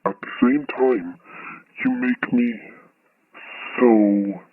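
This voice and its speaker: A man's voice: medium pitch, slight background noise. An actor playing a creepily friendly, obsessive male caller in an audio horror drama, heard through a telephone filter. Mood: quietly menacing. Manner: slow, deliberate, creepily friendly. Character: menacing, obsessive male stalker phoning a radio show. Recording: thin, muffled phone line.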